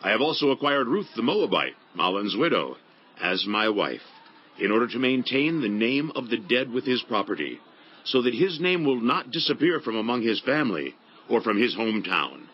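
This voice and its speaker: A man's voice: medium pitch, sing-song, moderate pace, slightly rough, lo-fi sound. A man playing a kindly, honorable landowner of ancient Israel in a dramatized Bible reading, earnest and declamatory. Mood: proclaiming. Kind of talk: dramatized Bible audiobook acting.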